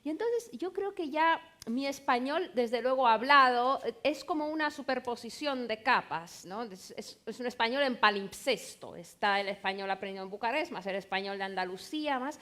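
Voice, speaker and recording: A woman's voice: a little high, expressive, normal speed, hardly any pauses, fairly bright tone. A middle-aged woman novelist whose first language is Romanian, speaking Spanish on a literary panel. She tells stories animatedly, with playful asides. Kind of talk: conversational panel talk.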